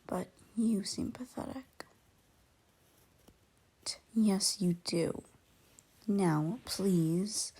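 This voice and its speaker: A woman acting an irritable, aloof lone female werewolf in an ASMR roleplay, curt and scornful, her soft voice often slipping into whispers. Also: fairly low pitch. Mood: grudging, scornful. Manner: curt, scornful, exasperated sighing.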